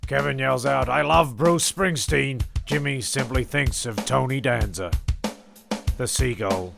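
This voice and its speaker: Gravelly, rambling male narrator. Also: mid-range voice, measured pace, music in the background. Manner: rambling, stumbling. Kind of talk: improvised comedy narration.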